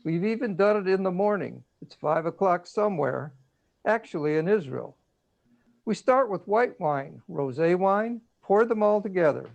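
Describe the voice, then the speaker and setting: Creaky, high-voiced man as a joking holiday character. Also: animated, moderate pace. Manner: corny question-and-answer joke delivery. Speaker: performer in a reader's theater. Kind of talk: comic monologue on a video call. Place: home, on a video call. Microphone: video call.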